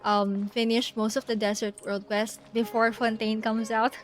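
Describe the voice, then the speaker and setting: A woman with a fairly high voice chats casually through a live video game stream. She thinks aloud in an easygoing, giggly way. Music plays behind her voice. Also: flat delivery, measured pace.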